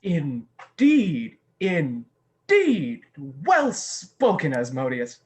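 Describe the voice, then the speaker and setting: Woman playing a low, drawling devil emcee. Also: wildly swooping pitch, a little mellow, full character voice. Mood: dry, weary. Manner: slow, deliberate, theatrical drawl. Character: drawling, weary devil presiding over demons as emcee. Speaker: master of ceremonies. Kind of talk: theatrical monologue show.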